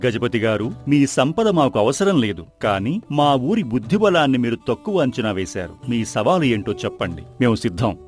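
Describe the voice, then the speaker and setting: A man's voice: medium pitch, big pitch swings, a little mellow, lightly acted. Man playing a dignified village leader, music behind. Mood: firm, composed. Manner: measured, firm, formal. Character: dignified, calm village leader defending his custom. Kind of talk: animated moral-story voice acting. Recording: background music under the voice.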